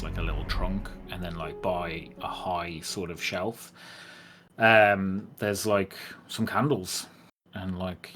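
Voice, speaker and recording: A man acting as game master, narrating a tabletop game casually with a loose, filler-heavy delivery. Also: low voice. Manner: loose, filler-heavy, keeps saying like and sort of. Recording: some background noise.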